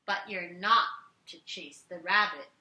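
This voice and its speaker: A storybook boatman, played by a woman, kindly and firm. The delivery is measured and gently scolding, with a bright edge. The room adds some echo. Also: fairly low pitch, voice pushed down. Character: kindly but firm man with a riverboat, setting rules. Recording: roomy living room echo.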